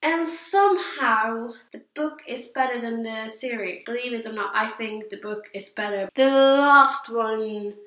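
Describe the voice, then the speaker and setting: A woman's voice: fairly high pitch, measured pace, hardly any pauses, bright, forceful tone. A young woman from Sweden, a non-native English speaker, chatting casually about her opinions in a hesitant, rambling, repetitive way. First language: Swedish. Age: teens to young adult. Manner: hesitant, rambling, repeats herself. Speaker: book reviewer. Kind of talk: casual vlog chat. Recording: echoey, roomy sound.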